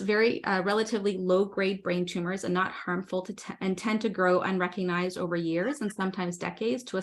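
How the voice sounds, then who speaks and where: Woman introducing a speaker on a video call. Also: medium pitch. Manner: friendly, slightly halting with fillers. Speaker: webinar host.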